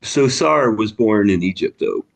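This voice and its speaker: Man, mid pitch, gravelly, easygoing discussion leader on a video call. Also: animated, a little slow. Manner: friendly, chuckling, thinking aloud with restarts. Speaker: study group facilitator. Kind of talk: casual group discussion.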